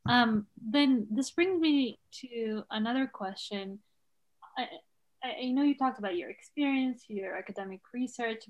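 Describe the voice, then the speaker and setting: A woman interviewing a guest, asking questions with hesitant fillers. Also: mid-range voice.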